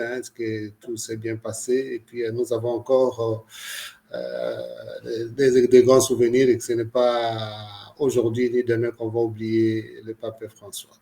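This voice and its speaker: A man speaking as a priest and co-host, explaining things conversationally to viewers in a halting, repetitive way with frequent verbal tags. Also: mid-range voice.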